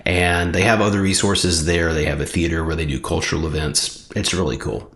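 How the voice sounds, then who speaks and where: A man's voice: low-pitched, fairly even intonation, a little fast, fairly bright tone, reverberant. A man with a Southern US accent, hosting his channel and giving earnest, emphatic reaction commentary. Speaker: channel host reacting to a video.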